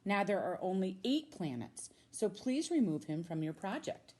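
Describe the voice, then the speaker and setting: Animated American woman reading a children's story. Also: medium pitch, background noise. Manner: expressive, drawn-out emphasis on key words. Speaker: middle school science teacher reading a children's book. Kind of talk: read-aloud storytelling for children.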